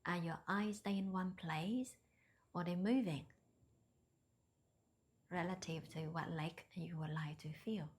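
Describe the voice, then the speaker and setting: A woman leading a guided meditation in a soft, breathy near-whisper, with gentle, hesitant non-native phrasing. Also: lowish voice, unhurried, pauses often. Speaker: meditation teacher.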